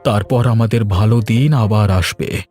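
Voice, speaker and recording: A man's voice: lowish voice, measured pace, darkish tone, no echo, soft background music. A man voicing an earnest, grateful middle-aged village farmer in a cartoon. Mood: earnest relieved. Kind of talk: cartoon voice acting.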